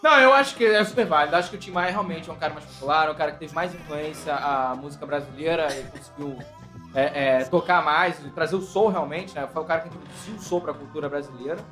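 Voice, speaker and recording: A man co-hosting a casual podcast chat with friends, excitable and quick to laugh, steering the talk between topics. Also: a little high, faint music behind. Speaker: podcast co-host.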